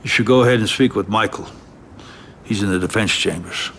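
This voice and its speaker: Man playing a lowish-voiced, cautious mob advisor. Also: fairly quick, background noise, close to an everyday voice. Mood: relieved. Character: measured, cautious mafia family advisor. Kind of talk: video game cutscene acting.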